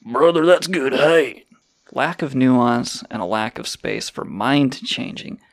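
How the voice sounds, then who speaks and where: A man's voice: mid-range voice, expressive, slow, no room sound, clean studio sound. A man hosting a crude comedy radio show, sarcastic and rambling, swearing freely. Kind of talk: irreverent comedic banter.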